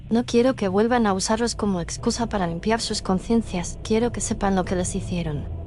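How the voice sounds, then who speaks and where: A woman's voice: mid-range voice, fairly quick, background noise, close to an everyday voice. A woman from playing an indignant, haunted woman in a horror story. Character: indignant, haunted young woman, half possessed by guilt. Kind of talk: dramatized horror narration.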